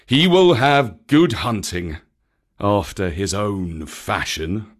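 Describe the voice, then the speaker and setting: A narrator as an emphatic, gruff storybook bear. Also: deep voice, animated, takes it slowly, voice pushed down, heavily acted. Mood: wry.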